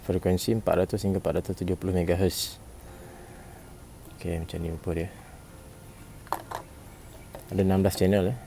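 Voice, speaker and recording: Deep-voiced, gravelly Malaysian man reviewing gadgets. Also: mellow, a little hiss or hum. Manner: informal, repeats himself, frequent "eh" tags and throat clearing. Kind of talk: casual product review vlog.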